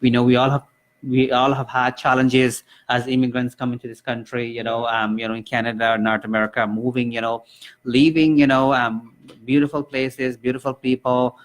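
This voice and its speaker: An earnest man hosting a community radio talk show, speaking at a middling pitch. He rambles warmly through his thoughts, with plenty of fillers and restarts, into a studio microphone. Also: little pitch movement, moderate pace, poor audio. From Guyana. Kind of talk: live community radio chat.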